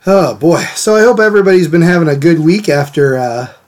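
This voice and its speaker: A man hosting a live drawing stream, a little hoarse from a cold, chatting casually and greeting viewers by name. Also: fairly high pitch, brisk, darkish tone. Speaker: art stream host. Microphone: desk mic, sometimes turned away from it.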